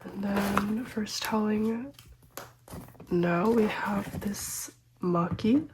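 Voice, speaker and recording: A young woman who is slightly sick and congested, talking gently and often half-whispering through a collecting vlog, with frequent ums and small laughs. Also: medium pitch, very slow, noisy background. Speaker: photocard collecting vlogger.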